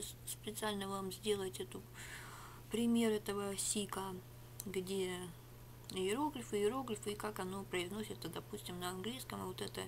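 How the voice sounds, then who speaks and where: A middle-aged woman whispers and murmurs in a sarcastic, rambling product review, her voice at an ordinary pitch. She is recorded close on a webcam in a small home room. A faint hum sits under her voice. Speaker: ASMR vlogger. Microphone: webcam at arm's length.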